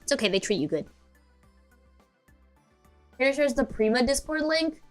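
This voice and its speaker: A woman's voice: sits high, sing-song, normal speed, faint music behind. A young American woman streaming, chatting casually and sleepily, rambling with many fillers and restarts. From United States.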